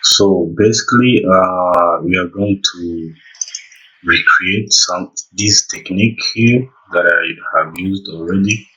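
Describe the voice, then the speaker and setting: A man walks through a software tutorial. His voice is low and bright, and he speaks slowly and haltingly. The microphone sounds a little distant. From Rwanda. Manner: halting, hesitant, pausing mid-sentence. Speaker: tutorial presenter. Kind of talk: casual software tutorial narration.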